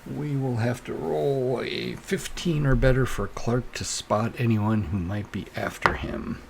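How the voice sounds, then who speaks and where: Male solo game master, slow and gravelly, improvising narration haltingly over faint noise. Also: medium pitch. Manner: halting, improvised, thinking aloud. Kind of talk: narrating a solo tabletop role-playing game.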